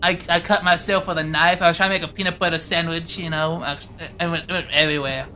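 A goofy, jelly-obsessed soldier as put on by an amateur dubber rambles and bickers offhandedly. The voice is bright and forceful at a middling pitch. Faint music plays behind this comic game dub. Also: fairly even intonation, fast talker, natural voice. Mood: offhand. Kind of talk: improvised comedy fan dub of a video game.

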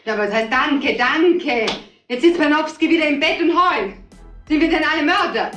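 An actress playing a defensive, loyal barmaid in a radio crime drama, emphatic and easily indignant. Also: female voice, high-pitched, lively intonation, normal speed, a bit bright, faint music behind. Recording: roomy, faintly noisy radio play recording.

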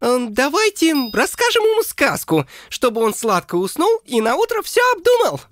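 A woman's voice: sits high, moderate pace, a little hiss or hum. A woman voicing a cheerful young cartoon clone who bossily takes charge and tells a fairy tale. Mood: eager. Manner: excited, bossy storyteller. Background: music.

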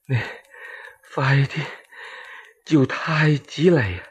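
A man voicing a sick, enraged old emperor in a radio storytelling drama, halting and groaning as he repeats himself. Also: mid-range voice, expressive, husky, full character voice. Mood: urgent.

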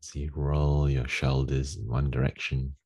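A man leading a guided meditation as a teacher, giving gentle, unhurried instructions with long pauses in a gravelly, husky voice. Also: a bass voice, level tone. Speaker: meditation teacher leading a daily group session.

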